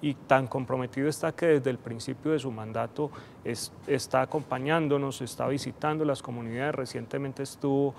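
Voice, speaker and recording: A man answering interview questions as an official, halting and repeating words as he searches for them. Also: mid-range voice, steady tone, creaky, a little mellow, a little hiss or hum.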